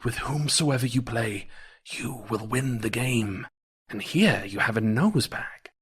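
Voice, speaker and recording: Calm old beggar man, voiced by a man. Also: mid-range voice, slow, breathy edge, heavily acted. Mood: calm, instructive. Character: kindly old beggar man, secretly holy, giving gifts.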